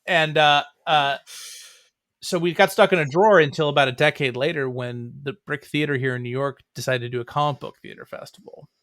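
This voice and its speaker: A man's voice: a little high, fairly even intonation, fairly quick, fairly bright tone, no room sound, clean studio sound. A middle-aged man chatting casually as an interview guest, with a wry, joking manner. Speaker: comics writer and playwright, interview guest.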